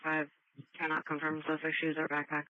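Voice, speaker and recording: A woman's voice: sits low, monotone, a little fast, no echo. A woman dispatcher giving clipped calls over a police radio. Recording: tinny narrowband police scanner audio.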